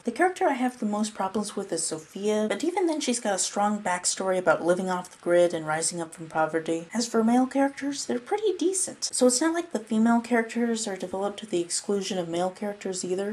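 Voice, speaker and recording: A woman's voice: on the low side, darkish tone. A woman presenting scripted analytical commentary on a piece of media.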